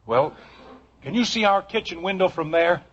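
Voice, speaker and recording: A man's voice: high voice, normal speed, a bit bright, slightly rough. An American actor playing an exasperated but affectionate middle-aged father in a 1950s radio sitcom. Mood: brisk, scheming. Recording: muffled, hissy old radio recording.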